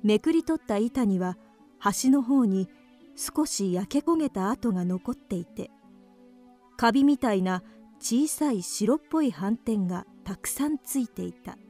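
A woman's voice: medium pitch, animated, measured pace, dark tone, soft background music. A woman narrating a radio drama from a script.

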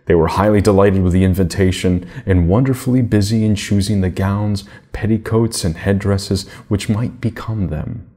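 A middle-aged man narrating a children's fairy tale in a measured, deliberate manner. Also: lowish voice, warm tone. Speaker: storybook reader.

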